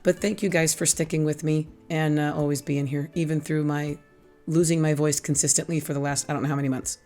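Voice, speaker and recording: A hoarse, raspy-voiced middle-aged woman hosting a casual craft tutorial, chatty and teasing herself as she explains each step. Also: low-pitched, little pitch movement, fairly quick, warm tone, soft background music. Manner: chatty, self-teasing, laughs at her own jokes. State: hoarse, losing her voice. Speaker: craft channel host.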